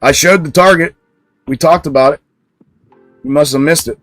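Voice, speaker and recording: A man hosting a live stock trading stream, talking with a loud speaking voice and answering viewers bluntly. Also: a little high, normal speed, no echo, faint music behind. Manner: blunt, answers chat comments directly. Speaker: stock trading livestream host. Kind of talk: casual livestream commentary.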